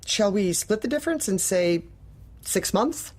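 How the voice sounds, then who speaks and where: A woman playing a manipulative, politely officious office manager who probes in a businesslike way, in a dark comedy audio drama. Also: mid-range voice, expressive, unhurried. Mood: probing, businesslike. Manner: polite, probing, businesslike, bureaucratic.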